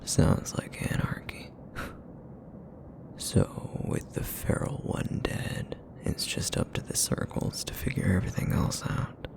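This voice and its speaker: A man voicing a broody, feral essence eater in an ASMR roleplay, with a raspy, rumbling murmur and a weary, guarded, reluctant manner. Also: natural voice. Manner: brooding, reluctant, slow and terse.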